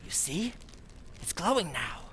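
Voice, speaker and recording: A man's voice: fairly high pitch, big pitch swings, unhurried, a little hiss or hum, rough recording. An actor playing a mild-mannered man who turns into a cackling sadistic killer, in an old-time radio style. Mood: menacing. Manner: mild and hesitant, then gleeful and shouting. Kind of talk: 1940s radio acting.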